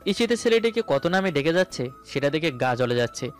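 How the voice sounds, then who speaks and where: A man tells a first-person romantic story in dramatic narration. His voice sits a little high, with soft music playing behind it. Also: big pitch swings, brisk. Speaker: story narrator and male lead. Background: background music.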